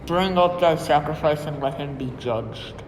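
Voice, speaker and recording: Solemn, menacing male cult leader, amateur actor, higher-pitched, proclaiming in a noisy, reverberant hall. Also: measured pace, close to an everyday voice. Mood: solemn, ceremonial. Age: young adult.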